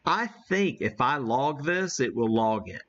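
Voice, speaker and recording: An American man hosts a casual live demo with an unhurried, folksy delivery. He thinks aloud as he works, in an average-pitched voice. The sound is slightly muffled and lo-fi. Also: expressive, a bit bright. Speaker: host demonstrating amateur radio live. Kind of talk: casual live-stream chat while operating.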